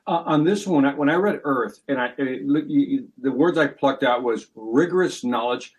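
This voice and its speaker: Man, podcast host, mid-pitched voice, conversational, restarts sentences, numbered points. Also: moderate pace, rough recording. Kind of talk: podcast interview.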